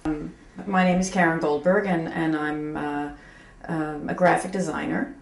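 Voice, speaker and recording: A woman in her mid-fifties speaks in a low, gravelly voice, candid and self-deprecating, pausing often with fillers and restarting her sentences. The recording comes from an echoey room with a faint hum underneath. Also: fairly even intonation, a crowd in the background. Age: 50s. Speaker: graphic designer, interviewee. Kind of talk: candid reflective interview talk.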